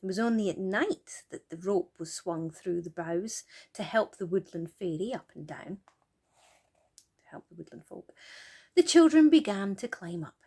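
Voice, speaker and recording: A woman reading a children's story aloud as an expressive storyteller. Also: mid-range voice, moderate pace, breathy edge.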